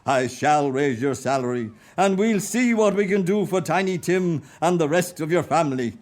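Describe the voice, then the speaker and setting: An actor with an Irish accent playing a reformed old miser, giddy with joy, exuberant and laughing in a radio play. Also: male voice, fairly high pitch, lively intonation, normal speed, darkish tone, natural voice. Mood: jolly. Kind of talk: amateur radio play acting.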